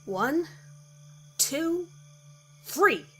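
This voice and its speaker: Female narrator as eager guy exploring at night, excited, urging, horror audiobook with music underneath. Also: high-pitched, drawn-out words, voice pushed up, heavily acted. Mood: exerted, eager.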